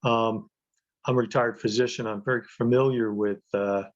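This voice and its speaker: A man's voice: mid-range voice, fairly even intonation, normal speed. An older man from the US East Coast with an American accent, introducing himself informally over dull video call audio. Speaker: library trustee, retired physician. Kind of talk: informal self-introduction in an online meeting.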